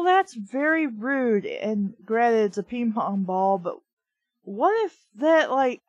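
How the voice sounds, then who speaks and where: Slow-paced woman reading aloud with snark. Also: fairly high pitch, no echo. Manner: snarky, rambling, conversational. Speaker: host reading a children's book aloud with commentary. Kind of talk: casual read-aloud with snarky asides.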